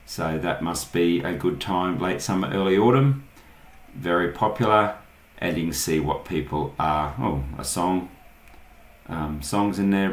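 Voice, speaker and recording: Australian male tutorial host, very low register, informal and unscripted, roomy echoing sound. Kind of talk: casual unscripted screen-share tutorial.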